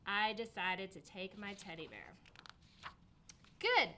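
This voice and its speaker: Woman, storybook narration, expressive, emphatic, high-ish bright voice, very animated intonation. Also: normal speed, a little hiss or hum. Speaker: assistant principal reading a picture book.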